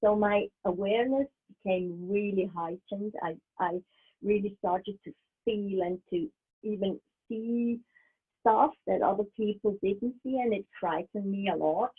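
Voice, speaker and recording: A middle-aged woman whose native language is German, a medium speaking as an interview guest over a video call in a thoughtful, halting way, often restarting and laughing softly. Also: medium pitch, husky. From Switzerland. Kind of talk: casual interview. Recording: tinny video call line.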